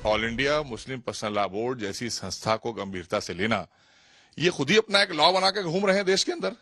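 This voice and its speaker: A man's voice: mid-range voice, lively intonation, a little fast, fairly bright tone, dry recording, studio quality, faint music behind. A man giving a combative political soundbite, emphatic and accusatory. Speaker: political commentator.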